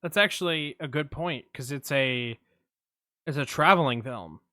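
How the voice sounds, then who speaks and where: A man's voice: mid-range voice, moderate pace, pushed and bright, no echo, studio quality. A man co-hosting a casual film podcast, reacting with short agreements and adding his own points. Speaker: podcast co-host.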